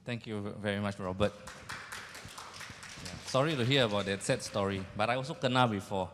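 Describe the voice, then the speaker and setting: A man's voice: fairly low pitch, moderate pace, fairly bright tone, slight background noise. A man with a Singaporean accent informally moderating a panel on stage. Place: large indoor event hall with seated audience. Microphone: handheld microphone. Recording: echoey event hall.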